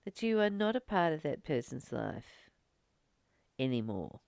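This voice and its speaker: A woman giving an informal spiritual talk as a teacher, earnest, phrasing things with deliberate, emphatic pauses. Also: sits low, very animated, creaky, darkish tone, no room sound. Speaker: spiritual teacher leading a daily course study.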